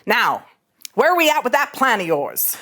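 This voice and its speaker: A woman's voice: on the high side, big pitch swings, moderate pace, pushed and bright. A woman playing a brash, irreverent young starship ensign in a sci-fi comedy, blunt and sarcastic. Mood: exasperated. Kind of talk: animated sci-fi comedy table read.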